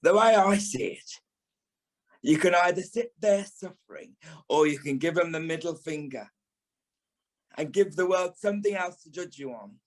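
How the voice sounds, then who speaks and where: Man playing a high-voiced, camp British dance teacher. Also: animated, normal speed, long pauses, lightly acted, poor audio. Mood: encouraging, wry. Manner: theatrical, sarcastic, scolding. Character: camp, sharp-tongued male dance teacher, former stage dancer. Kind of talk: audio play monologue and dialogue.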